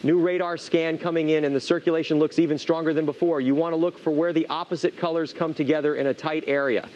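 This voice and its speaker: Higher-pitched male meteorologist, dull audio. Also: fairly even intonation, fast, darkish tone. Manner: conversational, explanatory. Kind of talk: live unscripted severe weather commentary.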